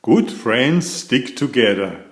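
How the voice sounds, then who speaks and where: Unhurried, animated male storybook reader. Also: medium pitch. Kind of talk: animated children's read-aloud narration. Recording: roomy echo.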